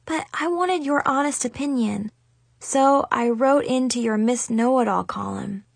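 Woman as timid schoolgirl, storybook, very high pitch, slow, sniffly, unsure of herself. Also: vocal fry, no room sound. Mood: hesitant, sheepish. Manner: sniffly, hesitant. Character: shy, anxious, insecure schoolgirl with new braces.

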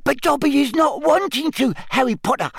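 A man's voice: very high voice, a little fast, creaky, a bit bright, no room sound, studio quality, pitched above the performer's own voice, heavily acted. A man voicing a small male house-elf for an audiobook, eager, loyal and nervous, speaking in servile, broken grammar. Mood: loyal, earnest. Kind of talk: audiobook character acting.

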